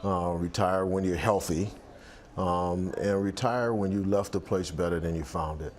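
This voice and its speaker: Man, 60s, very low voice, reflective and halting, outdoor interview with a faint hum behind. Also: fairly even intonation. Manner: reflective, many restarts and fillers. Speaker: athletic director. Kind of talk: sit-down interview. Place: outdoor balcony by the sea.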